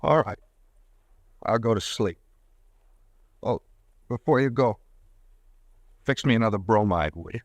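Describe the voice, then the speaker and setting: An actor playing a frightened pharmacist haunted by a murder, pleading and panicky, in an old radio drama. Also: male voice, on the low side, animated, unhurried, pauses often, no echo. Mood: shaken. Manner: panicky, pleading, breaking into shouts. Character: guilt-ridden young pharmacist murderer. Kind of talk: 1940s radio acting.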